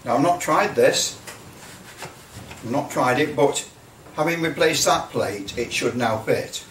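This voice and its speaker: A man giving a casual hands-on demonstration, halting and thinking aloud as he repeats phrases. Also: medium pitch, a bit bright. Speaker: presenter of a hobby project. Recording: roomy, distant mic, faint noise.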